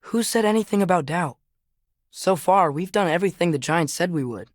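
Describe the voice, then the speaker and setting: A man's voice: high-pitched, lively intonation, brisk, dry recording. Teenage boy adventurer, earnest, questioning, casual wry manner, natural video game delivery, dry studio sound. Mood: worried, questioning. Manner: casual, curious, wry asides.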